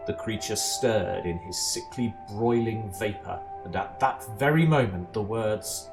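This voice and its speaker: A man playing a measured, deadpan narrator of absurd galactic tales in an amateur audio drama. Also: on the low side, vocal fry. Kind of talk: scripted comic sci-fi narration. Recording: music behind the voice.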